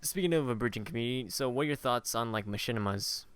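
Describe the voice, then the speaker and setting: A man hosting a casual podcast, informal and a little halting as he steers the chat. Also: mid-range voice, fairly bright tone, clean tone, dry recording. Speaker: podcast host.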